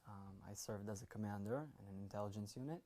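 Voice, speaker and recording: Mellow-voiced man, composed and thoughtful interview answers. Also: on the low side, fast talker, a little hiss or hum. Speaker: student.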